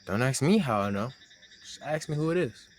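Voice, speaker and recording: A man playing a shy, teasing young man secretly in love with his friend, in an intimate audio roleplay with a halting delivery. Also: mid-range voice, animated, a little hiss or hum, natural voice. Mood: playful, nervous.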